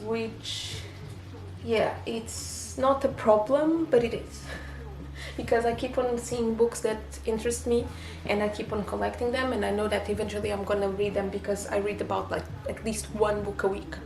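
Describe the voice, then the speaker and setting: Portuguese-accented woman, gravelly and roomy. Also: mid-range voice, steady tone, normal speed. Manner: hesitant, many fillers and restarts. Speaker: booktuber. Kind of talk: casual vlog chat. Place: home.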